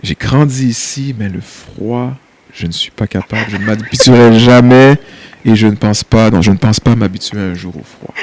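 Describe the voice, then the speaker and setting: A man's voice: lowish voice, normal speed, darkish tone, slight background noise. A man from Quebec with a Québécois accent, speaking as an interview guest, hesitant with many fillers and easy laughs. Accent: Québécois French. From Quebec, Canada. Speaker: guest, nonprofit CEO. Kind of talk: casual interview chat.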